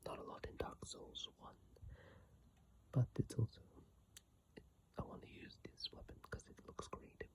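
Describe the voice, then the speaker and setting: An ASMR creator whispering breathily and softly into a phone mic, reading aloud with unhurried, hesitant casual asides. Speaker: ASMR video creator reading game descriptions. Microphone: iPhone microphone, close up.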